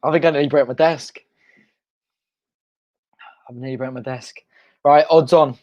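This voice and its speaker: A young man hosting a live football watch-along from home, excitable and shouting, his voice going hoarse. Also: medium pitch, unhurried, highs cut off. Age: young adult. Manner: excitable, shouting and chanting at goals. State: losing his voice. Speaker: fan channel host. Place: home front room.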